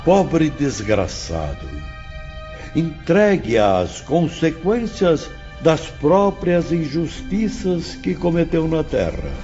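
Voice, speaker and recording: An actor playing a solemn spirit instructor, stern yet compassionate, speaking gravely and deliberately in a dramatized audiobook. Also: male voice, a little high, dark tone. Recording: muffled lo-fi audio, music behind.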